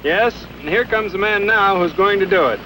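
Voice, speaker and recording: A young American pilot gives stiff, rehearsed answers in a polite, earnest way. His voice is fairly high and sharply bright, with faint noise behind it. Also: moderate pace, poor audio. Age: young adult. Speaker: military pilot. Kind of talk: stiff, rehearsed on-camera interview.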